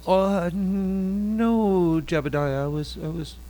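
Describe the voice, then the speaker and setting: A man playing a mild-mannered Jesus in a comedy radio bit, who speaks softly and hesitantly and stammers calmly. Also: sits high. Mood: gentle. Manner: soft, halting, hesitant and placid. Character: mild-mannered Jesus, gentle carpenter and would-be rocker.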